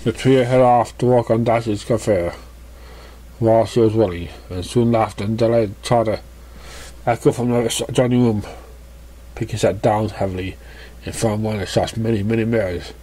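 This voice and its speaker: A narrator reading a story aloud in an expressive, scripted style, acting out the dialogue. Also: mid-range voice, moderate pace. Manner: expressive, acts out character dialogue. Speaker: audiobook-style story reader.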